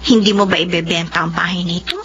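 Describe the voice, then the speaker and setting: A woman's voice: lowish voice, fairly even intonation, quick pace, fairly bright tone, natural voice. A woman playing Joy, a curious, sympathetic friend who asks questions. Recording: dull lo-fi sound with faint hum.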